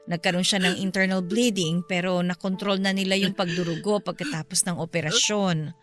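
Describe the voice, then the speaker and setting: A woman's voice: fairly low pitch, fairly even intonation, moderate pace, a bit bright, natural voice. A woman playing an earnest, pleading middle-aged mother and friend, protective of her son, in a Filipino radio drama. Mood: subdued explaining.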